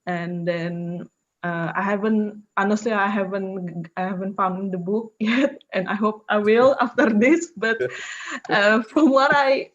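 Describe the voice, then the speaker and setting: A woman's voice: medium pitch, fairly even intonation, a little slow. A woman with an Indonesian accent interviewing conversationally, often restarting her phrases hesitantly. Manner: hesitant, repeats phrase starts. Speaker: host asking questions. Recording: dull lo-fi online call audio.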